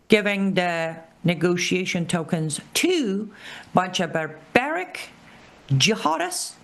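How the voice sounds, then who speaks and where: A woman with an Iranian accent hosting a political commentary show, speaking emphatically and repeating key phrases slowly. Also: medium pitch, creaky. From Iran. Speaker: show host.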